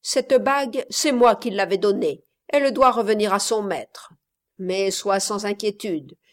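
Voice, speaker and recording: Woman doing a loud blustering miserly old father. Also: medium pitch, a little slow, lightly acted. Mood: insistent. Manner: loud, insistent, exclaiming.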